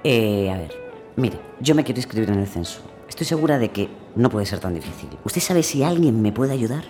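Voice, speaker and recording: A frustrated woman stuck in red tape, in naturalistic radio fiction. She is hesitant and over-polite with simmering exasperation, and music plays clearly behind her. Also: rumbling low, very animated, a little fast. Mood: flustered, exasperated. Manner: hesitant, apologetic, muttering in frustration. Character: exasperated, polite young female citizen battling bureaucracy.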